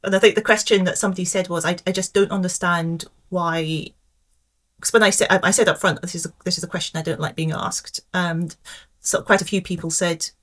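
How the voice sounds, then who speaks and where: Thoughtful English-accented woman, lowish voice. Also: steady tone. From Scotland. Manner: thoughtful and measured, many soft hesitations. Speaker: pensions actuary, podcast guest. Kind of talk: reflective interview answers.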